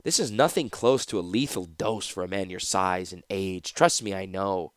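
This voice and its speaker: A man voicing a cruel, breezily sardonic woman torturer in a fantasy audiobook, mocking and calmly menacing. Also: low-pitched, moderate pace, clear, resonant tone, no echo, studio quality, natural voice. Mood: brisk, teasing.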